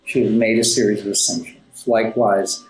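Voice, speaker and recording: A man giving conversational interview answers as a guest playwright, articulate and formally worded, often halting and repeating words for emphasis. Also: fairly low pitch, flat delivery. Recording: roomy echo, music underneath. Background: classical background music.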